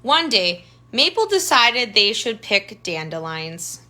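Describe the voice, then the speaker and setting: A woman's voice: mid-range voice, sing-song, takes it slowly, pushed and bright, slight background noise. A woman tutor reading a picture book aloud to children, adding simple explanatory asides and pointing things out. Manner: simple child-directed phrasing, pointing things out with questions and remarks.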